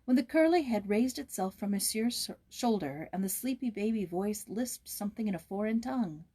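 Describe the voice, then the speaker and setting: A woman's voice: mid-range voice, lively intonation, normal speed, warm tone, breathy edge. A woman reading a storybook aloud as host, with casual asides.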